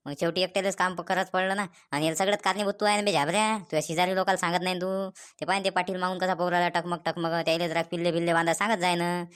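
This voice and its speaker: Woman voicing a rapid-fire exasperated village farmer. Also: lowish voice, clean tone, natural voice. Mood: scolding. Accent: rural Vidarbha Marathi. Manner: grumbling, repetitive, colloquial. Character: exasperated male village farmer, grumbling about stray cattle. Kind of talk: Marathi cartoon comedy dialogue.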